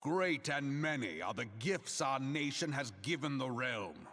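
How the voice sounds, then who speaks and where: A man's voice: mid-range voice, sing-song, measured pace, fairly bright tone. An actor playing a proud, solemn military statesman in a fantasy game, declaiming in grand, archaic language. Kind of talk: theatrical public oration in a fantasy game. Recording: long echoing hall reverb.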